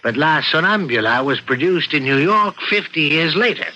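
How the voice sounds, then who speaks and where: An actor playing a doting, pedantic seventy-year-old husband in an old-time radio drama, fussily polite and a little hesitant. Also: male voice, on the high side, lively intonation, normal speed, bright, forceful tone. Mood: fussy, genial. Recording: dull, faintly noisy vintage radio recording.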